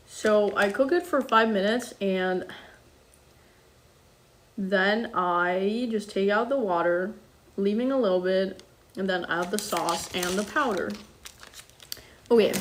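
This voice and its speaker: Chatty young woman streamer cooking. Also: a little high. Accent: international-school English with slight German touches. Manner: chatty, self-deprecating, frequent ums and apologies. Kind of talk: casual live-stream chat while cooking, reading comments.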